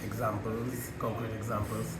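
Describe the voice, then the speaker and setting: A man, his voice still hoarse from the flu, answering interview questions in a halting way and often saying "you know". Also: on the low side, flat delivery, very noisy. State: hoarse, voice not fully back after flu. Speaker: interview guest, marriage encounter presenter. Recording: echoey room with loud music behind.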